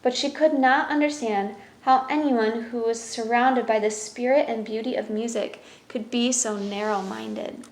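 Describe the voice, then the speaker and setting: A woman's voice: fairly high pitch, steady tone, measured pace, hardly any pauses, echoey room. A woman reading a children's picture book aloud as a storyteller, in narration with brief explanatory asides.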